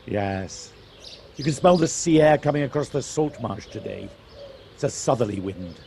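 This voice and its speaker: Male actor as chatty rural driver, neighbourly and teasing, mid-pitched, home-recorded with light noise and birdsong. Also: animated, moderate pace, vocal fry, darkish tone. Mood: friendly, teasing. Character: friendly Welsh countryman, chatty driver fond of hills. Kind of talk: audio play acting.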